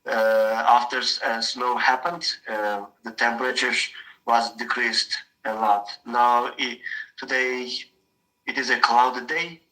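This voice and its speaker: A young Turkish man speaks English with a strong Turkish accent and a very bright, sharp tone. He talks in a halting way, filling gaps with "uh" and restarting phrases as he hunts for the right word. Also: mid-range voice, little pitch movement, poor audio. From Turkey. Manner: halting, searching for words, frequent uh. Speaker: mechanical engineer practicing English. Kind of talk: casual conversation practice.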